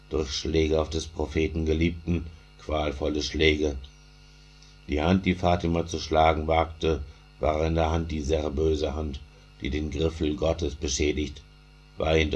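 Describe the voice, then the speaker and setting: Very deep husky male lament reciter. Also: darkish tone. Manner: chanting with a repeated refrain.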